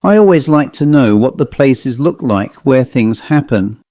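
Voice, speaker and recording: A man's voice: medium pitch, expressive, a little slow, dark tone, no echo, close to an everyday voice. A man reading in character as a chatty, genial commercial traveller telling an anecdote in colloquial style for an audiobook ghost story. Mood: confiding, reminiscing. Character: dapper commercial traveller, chatty male raconteur of ghost stories.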